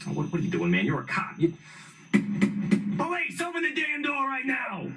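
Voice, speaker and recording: Man voicing nervous bumbling cop, high and bouncy, stammers, music bed. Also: huge pitch swings, moderate pace, recorded from a distance, heavily acted. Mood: frustrated. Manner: jittery, stammering, panicky. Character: nervous clumsy male police officer.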